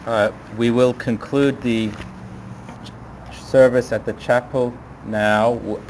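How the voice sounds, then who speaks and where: A man's voice: medium pitch, little pitch movement, takes it slowly, a little mellow. A man officiating a funeral as rabbi, making formal announcements to those gathered. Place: funeral chapel. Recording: noisy live room recording.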